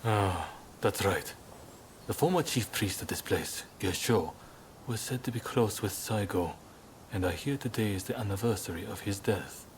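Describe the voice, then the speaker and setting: An earnest samurai clan leader acted by a man talks in a lowish voice. He is courteous, formal and idealistic, in the manner of a dramatic game cutscene. Background ambience is clearly heard behind him. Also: normal speed, slight background noise, close to an everyday voice. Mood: thoughtful, resolved.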